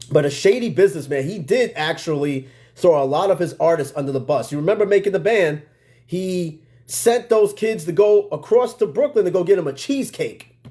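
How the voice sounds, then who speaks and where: Mellow American man chatting casually on a livestream. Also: on the high side. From United States. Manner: slangy, swearing, conversational asides to listeners. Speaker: podcast host.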